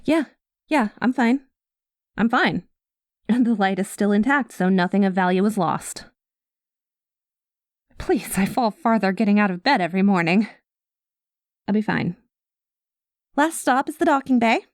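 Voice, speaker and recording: Casting: actress for cynical female space smuggler, ASMR roleplay. Medium pitch, wry conversational asides, guarded with a soft spot, very little room sound. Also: measured pace, pauses often, no echo, clean studio sound, natural voice. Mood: brushing off. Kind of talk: ASMR roleplay addressed to the listener.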